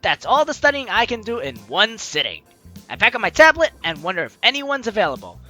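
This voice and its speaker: A man's voice: high-pitched, sing-song, brisk, pushed and bright, soft background music. A young man hosting a game playthrough, reading dialogue aloud and commenting in a playful, chatty, dramatic way. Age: young adult. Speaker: let's player hosting a game series.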